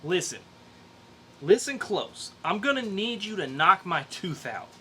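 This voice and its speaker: A man playing a gruff, reluctant, slangy blue-collar worker in an amateur dramatic comic book reading. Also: sits high, very animated, a little slow, pushed and bright, slight background noise, heavily acted. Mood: resigned, bossy.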